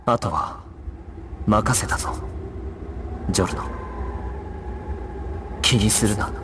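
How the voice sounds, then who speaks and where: An actor playing a resolute, stoic young gangster squad leader in a Japanese action video game, speaking with solemn, commanding authority. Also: male voice, on the low side, slow. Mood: calm, resigned. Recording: music behind the voice.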